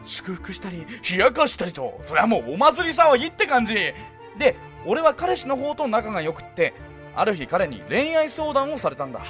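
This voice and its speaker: A man playing an affable, talkative high school boy who recruits for his club in a comedy voice drama. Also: big pitch swings, a little fast, a bit bright, faint music behind. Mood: chatty, persuasive. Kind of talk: acted dialogue in a youth comedy voice drama.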